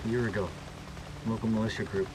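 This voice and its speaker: A man acting a nervy, defensive government man in a dramatic video game, halting and pleading as he justifies himself. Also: on the low side, fairly even intonation, background noise, natural voice. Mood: earnest.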